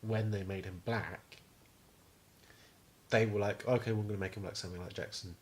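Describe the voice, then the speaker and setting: A man's voice: lowish voice, fairly even intonation, normal speed. Rambling young British man chatting casually. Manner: rambling, sweary. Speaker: podcast co-host. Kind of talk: casual chat.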